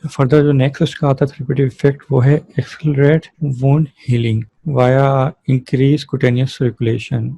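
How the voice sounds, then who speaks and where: A man's voice: medium pitch, flat delivery, unhurried, creaky, few pauses, mellow, husky, no room sound. A man lecturing in an explanatory way, using everyday examples and answering his own questions as a teacher would. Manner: didactic, asks and answers own questions, switches between Hindi-Urdu and English terms. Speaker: physiotherapy lecturer.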